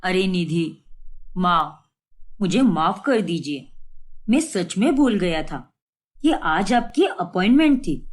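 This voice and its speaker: A woman's voice: on the low side, expressive, slow pace, pauses often, pitched below the performer's own voice. A woman voicing a mild young married son in a family story, placating in manner. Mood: apologetic. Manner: pleading, placating.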